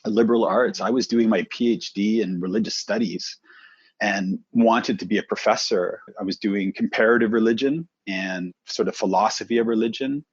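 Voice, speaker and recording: Husky low-voiced Canadian man. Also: normal speed, dry recording, lo-fi sound. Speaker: guest, presenter coach. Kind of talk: relaxed storytelling.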